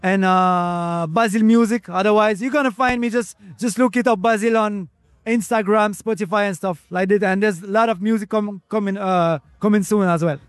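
High-voiced Frenchman chatting, close dry sound. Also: normal speed, no room sound. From France. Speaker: interview guest, reggae singer. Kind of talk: casual interview chat.

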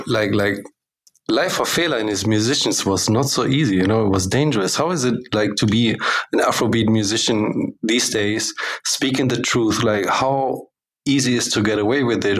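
A man's voice: lowish voice, fairly bright tone, breathy edge, low-quality recording. A man hosting a radio show, talking loosely and chattily with many fillers, interviewing a musician at a live music event. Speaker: radio host.

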